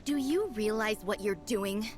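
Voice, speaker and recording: An actress voicing a stern woman security chief in a video game, firing off sharp questions at a subordinate. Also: medium pitch, animated, unhurried, over music, natural voice.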